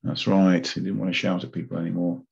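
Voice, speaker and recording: A man's voice: sits low, level tone, fast, gravelly, darkish tone, breathy edge, highs cut off. A man chatting casually on a live stream, rambling with filler words. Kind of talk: casual chat.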